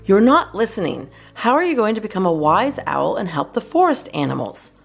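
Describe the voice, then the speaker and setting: A woman voicing a wise old father owl in a children's storybook, stern and instructive in a measured way. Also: medium pitch, big pitch swings, creaky, soft background music.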